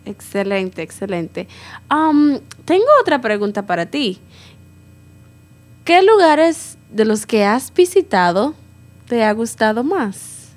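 A woman's voice: a little high, very animated, slow pace. A woman hosting a casual podcast interview, asking questions and summing up her guest's answers with encouragement. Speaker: podcast host.

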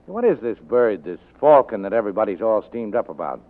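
An actor playing a sardonic, terse private detective who wisecracks, in a 1940s radio drama. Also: male voice, lowish voice, very animated, brisk. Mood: cool, skeptical. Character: hard-boiled, sardonic, unflappable male private detective. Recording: tinny vintage 1940s radio recording.

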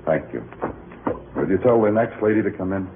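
An actor playing a world-weary, hard-boiled New York police detective with a dry, terse, wry manner in old radio noir. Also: male voice, deep voice, expressive, moderate pace, background noise. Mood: terse, grim. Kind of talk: 1950s radio noir acting and narration. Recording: tinny old radio recording, music behind.

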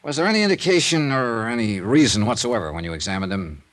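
An actor playing a probing, coolly businesslike insurance investigator in a 1950s American radio drama, with measured, clipped questioning. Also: male voice, mid-range voice, expressive, fairly bright tone, no room sound, natural voice. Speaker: star of a radio detective drama. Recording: 1950s radio recording with music.

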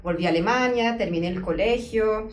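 A young German woman, a legal researcher, answering interview questions in Spanish with thoughtful pauses and frequent "em" fillers. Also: medium pitch. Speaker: guest researcher. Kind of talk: conversational interview.

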